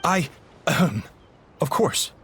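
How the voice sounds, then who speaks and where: A flustered ship owner. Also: male voice, fairly high pitch, lively intonation, slow, fairly bright tone, slight background noise, exaggerated voice. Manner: stammering, then boastful. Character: flustered male ship owner, caught off guard. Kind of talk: video game voice acting.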